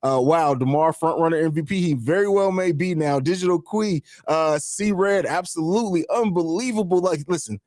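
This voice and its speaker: A hoarse man with a raspy voice hosting a live fan stream, talking emphatically and repeating himself, with casual swearing. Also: a little high, moderate pace, few pauses, darkish tone, no echo, studio quality. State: hoarse from screaming. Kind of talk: excited sports fan stream chat.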